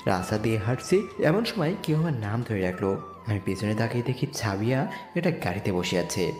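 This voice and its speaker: A man's voice: lowish voice, big pitch swings, a little fast, darkish tone. A man playing a poor, humble young slum boy who earnestly narrates his own romantic audio story. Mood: narrating, earnest. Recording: echoey reverb with music bed. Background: music.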